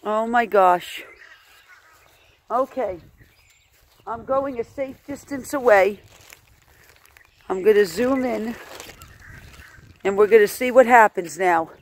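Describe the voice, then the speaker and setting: A woman's voice: fairly high pitch, slow pace, pauses often, slight background noise. A woman filming a casual outdoor vlog, exclaiming in amazement and repeating her phrases as she narrates to viewers. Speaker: animal sanctuary host filming a vlog. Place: outdoors at a rural animal sanctuary.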